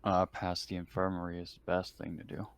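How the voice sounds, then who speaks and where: A man's voice: low-pitched, monotone, a little fast, clean tone. A man talking casually as a player in a tabletop roleplaying game.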